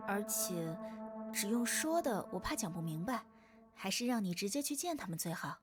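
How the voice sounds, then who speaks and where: A woman voicing a soft-spoken, polite, secretive young girl in a visual novel. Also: medium pitch, sing-song, moderate pace, close to an everyday voice. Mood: earnest. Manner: softly spoken. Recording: music behind. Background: game music.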